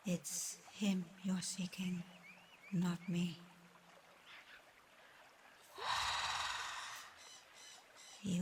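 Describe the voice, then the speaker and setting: A woman playing a weary, proud but gentle female dragon in a soft, breathy, measured ASMR roleplay. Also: on the low side, a little hiss or hum. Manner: soft, breathy, half-whispered, measured delivery. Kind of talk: ASMR roleplay, scripted one-sided dialogue.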